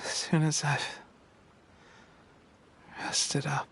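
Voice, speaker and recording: A man playing a good-natured, exhausted young soldier in a video game, speaking haltingly with a brave face. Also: fairly high pitch, monotone, a bit bright, a little hiss or hum. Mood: weakly reassuring. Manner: halting, folksy. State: exhausted, coughing.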